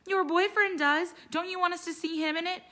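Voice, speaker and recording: A woman's voice: very high voice, steady tone, fairly quick, sharp tone, pitched above the performer's own voice. A woman voicing a concerned, affectionate mother in a storybook, earnest and questioning. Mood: upset.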